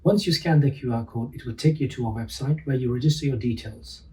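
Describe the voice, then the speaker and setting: A man's voice: medium pitch, a little fast, dark tone. A man from police recruitment explaining the sign-up steps plainly, one at a time. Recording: distant mic with faint noise.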